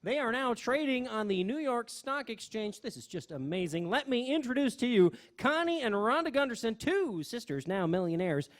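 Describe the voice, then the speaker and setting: A woman's voice: a little high, normal speed, few pauses. A woman playing an earnest local news reporter doing an interview in a radio comedy sketch. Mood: excited, announcing. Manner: animated announcing, hesitant questioning.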